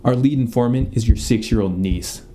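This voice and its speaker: Mellow deadpan detective played by a young man. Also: mid-range voice, moderate pace, lightly acted. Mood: officious. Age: young adult. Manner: deadpan, officious. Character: deadpan, officious male detective interrogating a suspect. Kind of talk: comedic radio play acting.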